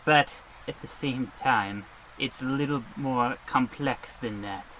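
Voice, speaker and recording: A man's voice: mid-range voice, takes it slowly, a bit bright, slight background noise, rough recording. A man playing a creepy, genteel horror writer with formal, mysterious phrasing in an old-radio comedy style. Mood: mysterious, courteous.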